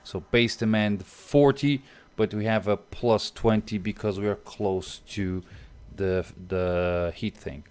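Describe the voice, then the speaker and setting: A man's voice: lowish voice, steady tone, slow pace, hardly any pauses, a little mellow, clear, resonant tone. A young Portuguese man with a Portuguese accent, thinking aloud through a strategy game on stream and chatting with viewers. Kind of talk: live gameplay commentary.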